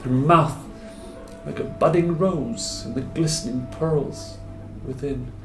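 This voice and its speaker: A man in his thirties voicing a wistful, lovestruck wounded soldier in a theatrical, halting dramatic poetry recital with expressive pauses. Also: medium pitch. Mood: melancholy. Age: 30s. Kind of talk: dramatic poetry recital, first-person monologue. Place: small indoor room with hard walls. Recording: roomy echo, music behind.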